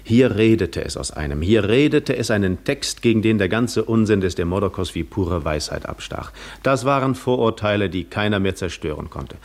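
Weary, lonely Greek wanderer, lowish voice, measured literary storytelling for a 1950s radio play. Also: male voice, expressive. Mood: quietly astonished. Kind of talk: 1950s German radio drama narration.

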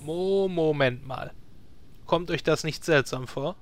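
A man's voice: a little high, slow, no room sound. A man playing an earnest hero who reasons insistently in a fantasy parody audio drama. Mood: urgent.

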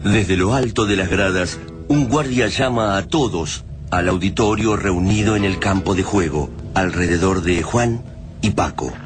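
A low-voiced Argentine man narrates a radio drama. His delivery is solemn and literary, with music clearly playing underneath. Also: a little slow.

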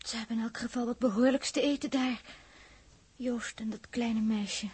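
Breathy, high-voiced earnest woman. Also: fairly even intonation, moderate pace, darkish tone. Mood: hushed, intent. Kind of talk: 1960s Dutch radio drama acting.

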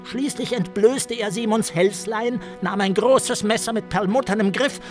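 A man playing a lurid storyteller in a German radio play, theatrical and insinuating, at times sinking to a breathy murmur. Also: high voice, a little slow, faint music behind. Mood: agitated, relishing. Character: Herr Hund, a man spinning lurid hateful tales with relish.

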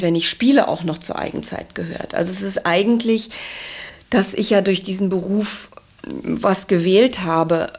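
A woman answering interview questions reflectively and conversationally, thinking aloud and correcting herself as she goes. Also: mid-range voice. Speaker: stage performer being interviewed.

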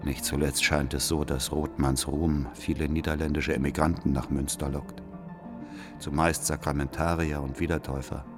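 An actor playing a devout, calculating church spy in a radio drama, reading out his reports in a formal, deliberate, deferential manner. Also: extremely low pitch, level tone. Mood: deferential, watchful. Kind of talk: radio drama letter monologue. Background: faint music.

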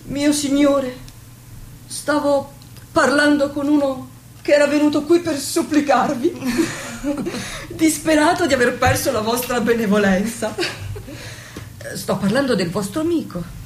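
A devoted young noblewoman in a theatrical radio drama. Her voice sits fairly high, and she speaks with trusting, earnest persistence. Some noise runs through the recording. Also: measured pace, long pauses. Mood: coaxing, playful. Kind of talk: Italian stage drama performance on radio.

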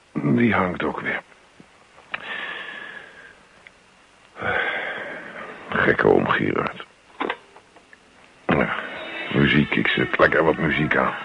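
A man's voice: on the low side, vocal fry, slightly rough, heavily acted. An actor playing a grumpy, put-upon middle-aged man in a radio play, grumbling and muttering reluctant asides about his neighbour. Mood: irritated, grumbling. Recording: dull lo-fi radio play recording.

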